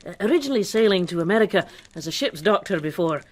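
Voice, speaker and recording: Woman playing swaggering impostor doctor, animated pitch swings. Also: fast, natural voice. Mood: blustering, flustered. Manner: pompous, boastful, hesitant. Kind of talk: period radio drama acting.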